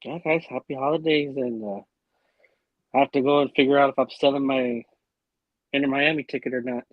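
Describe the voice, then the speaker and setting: A man chatting excitedly on a casual podcast, quick and slangy. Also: medium pitch, dry recording, narrow, tinny audio.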